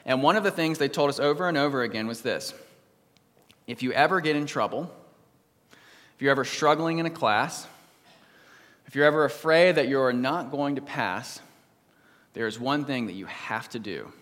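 A pastor reads a psalm in a measured, solemn voice, then prays and preaches a sermon to his congregation. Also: mid-range voice, a bit bright, in a large hall. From United States. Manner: measured, solemn reading, livelier when telling stories. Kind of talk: sermon with scripture reading and prayer.

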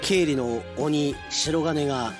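A man's voice: fairly high pitch, takes it slowly. A man hosting a radio show, talking casually and chattily with self-deprecating asides and reading listener mail. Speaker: radio announcer and drama show host. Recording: music bed under the voice.

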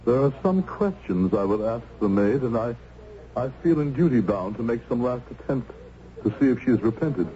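A man acting a dark-toned, formal Puritan minister. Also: mid-range voice, lively intonation, slight background noise, natural voice. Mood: formal, firm. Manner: formal, archaic, measured speech. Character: stern, dutiful colonial Puritan minister, formal and grave. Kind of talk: old-time radio drama acting. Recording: muffled old radio recording.

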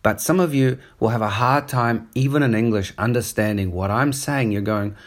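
A man's voice: medium pitch, normal speed. Rambling man with a New Zealand accent. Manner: rambling, digressive, conversational. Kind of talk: casual spoken monologue. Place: on holiday up the coast in Australia.

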